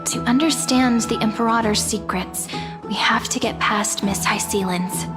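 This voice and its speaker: Woman playing high-voiced, thoughtful young heroine. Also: normal speed, over loud music, lightly acted. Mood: pondering. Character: curious, thoughtful young heroine, polite and observant. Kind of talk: scripted video game character acting.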